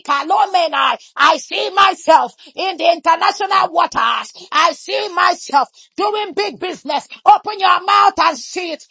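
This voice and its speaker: A woman leading fervent shouted prayer, chanting repeated declarations in a rhythmic cadence and breaking into speaking in tongues. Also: extremely high pitch, dry recording. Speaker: prayer leader.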